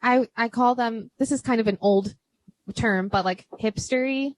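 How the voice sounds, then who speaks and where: A woman's voice: a little high, normal speed, low-quality recording. A young American woman hosting a podcast, chatting casually over drinks with a big voice, lots of "like" and frequent laughs. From United States. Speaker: podcast host.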